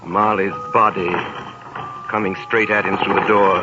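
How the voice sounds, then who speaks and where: A man narrating a 1930s radio drama in a measured storytelling manner. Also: mid-range voice. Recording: dull 1930s radio broadcast with music.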